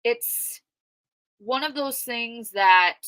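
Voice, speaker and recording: A loud, outspoken woman talking through a livestream in a casual, conversational way and answering her chat as she goes. Her voice is bright and forceful, and it sits close and dry with no room sound around it. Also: on the high side, lively intonation, drawn-out words, dry recording. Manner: conversational with frequent fillers. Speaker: true crime commentator reading live chat.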